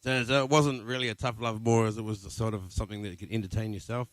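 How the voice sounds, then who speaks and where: Casting: male podcast host, lowish voice, wisecracking questions. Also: steady tone, fast talker, no room sound. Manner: joking, teasing questions. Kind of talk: casual podcast interview.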